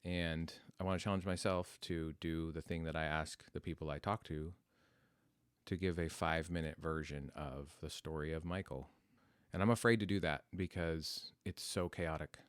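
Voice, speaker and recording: An American man in his forties telling his life story on a podcast in a reflective, unscripted way, with halting, introspective phrasing. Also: rumbling low, steady tone, clean tone, no echo, clean studio sound. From United States. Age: 40s.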